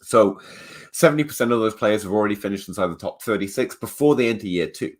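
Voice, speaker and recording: A man with a fairly low voice hosts a podcast and lays out numbers step by step. He is measured and a bit dry, and his nose sounds slightly congested. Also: expressive. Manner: measured, data-driven, dry humor. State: stuffed-up nose. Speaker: fantasy football podcast host. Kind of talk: analytical podcast talk.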